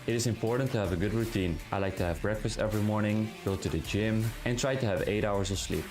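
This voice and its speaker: A man's voice: on the low side, fast talker, over music. A man from the Netherlands, a pro esports player speaking in simple, direct phrases in an interview voiceover. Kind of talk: documentary-style interview voiceover.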